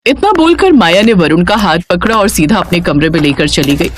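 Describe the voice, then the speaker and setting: A woman's voice: fairly low pitch, very animated, fairly quick, background noise. A woman narrating a scripted moral story as its storyteller.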